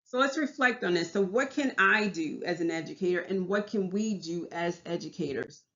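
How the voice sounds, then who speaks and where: A woman's voice: on the low side, moderate pace, a bit bright, highs cut off. A woman in her thirties with a Southern US accent, a teacher giving a class presentation in an earnest way, often restarting her phrases. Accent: Southern US English.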